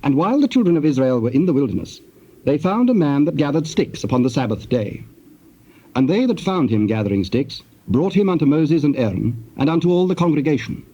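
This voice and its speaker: A man narrating dramatized scripture in a formal, measured storytelling manner. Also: a little high, very animated, mellow. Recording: muffled, faintly hissy tape.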